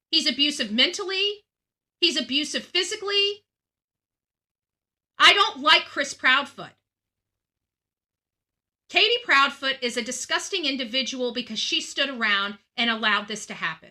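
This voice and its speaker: Bright, forceful woman hosting true crime. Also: sits high. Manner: emphatic, repeating phrases for stress. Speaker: true crime channel host. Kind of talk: earnest monologue to channel audience.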